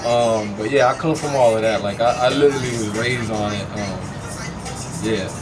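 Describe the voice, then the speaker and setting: A man's voice: medium pitch, normal speed, far from the mic, music in the background. A middle-aged man from Hartford, Connecticut, speaking as an interview guest in an easygoing, reminiscing way with many fillers. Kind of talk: casual interview.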